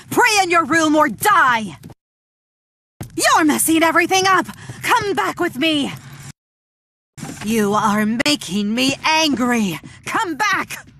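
Scary strict nun from a horror game, raspy voice, slow menacing delivery, scolding and fanatical. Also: female voice, sits high, a bit bright, exaggerated voice. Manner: scolding, threatening, theatrical. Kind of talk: horror video game character acting.

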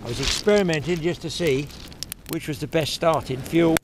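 A man's voice: on the high side, moderate pace. Older man rambling on a windy beach. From England. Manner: chatty, rambling, grumbling with dry humour. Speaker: fishing vlogger. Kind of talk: casual chat to camera. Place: open shingle beach outdoors. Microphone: action camera held close. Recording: outdoor beach noise behind.

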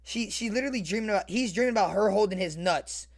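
A young man reading aloud casually on a livestream, swearing, slangy and repeating his phrases in an animated way. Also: high voice, fast, creaky. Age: young adult. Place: small bedroom, indoors. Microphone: close desk microphone with headset.

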